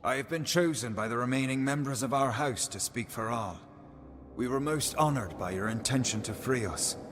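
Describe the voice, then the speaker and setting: A man's voice: mid-range voice, moderate pace, slight background noise, natural voice. An actor playing a haughty aristocratic fae spokesman in a fantasy video game, formal, flowery and condescending. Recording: reverberant hall under loud music.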